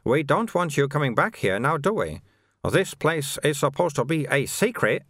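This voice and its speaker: A man voicing a calm, chatty monk in an audiobook, soothing and lightly teasing as he explains things. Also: fairly high pitch, normal speed, no room sound, studio quality, pitched above the performer's own voice, full character voice. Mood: chatty, matter-of-fact. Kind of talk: audiobook character dialogue.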